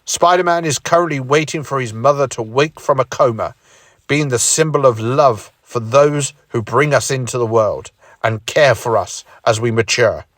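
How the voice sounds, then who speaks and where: A man voicing a stern older male time traveller who explains things in a steady way. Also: medium pitch, moderate pace, no room sound, studio quality, lightly acted.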